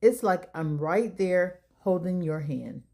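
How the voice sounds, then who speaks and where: A woman voicing a gentle, reassuring young mother in a children's storybook, warm and encouraging. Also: lowish voice, slow pace. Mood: gentle comfort.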